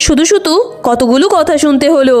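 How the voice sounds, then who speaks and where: A woman's voice: high voice, brisk, a little mellow, lightly acted. A woman voicing a poor, caring fox mother in a cartoon, who speaks in a soothing, pleading way. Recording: voice over clear background music.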